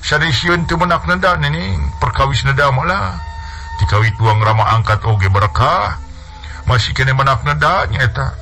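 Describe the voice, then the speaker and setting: A male storyteller playing a long-winded village uncle who lies smoothly to his niece. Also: mid-range voice, moderate pace, sharp tone. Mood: wheedling. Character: lying middle-aged village uncle, smooth-talking.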